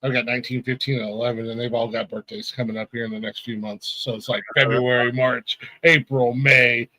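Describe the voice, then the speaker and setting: A man's voice: mid-range voice, flat delivery, fairly quick, pushed and bright, low-quality recording. Rambling, laughing podcast host man. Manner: rambling, stammering, laughs often. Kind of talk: casual chat.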